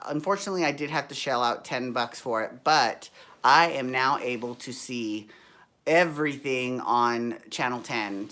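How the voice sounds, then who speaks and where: A man's voice, worn low and tired after a day of performing, carries through a hotel room. He chats in a sassy, rambling way, drifting into side stories and asides, with a pitch that sits in the middle for a man. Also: sharp tone. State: tired, deepened voice. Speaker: show host. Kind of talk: casual chatty recap vlog.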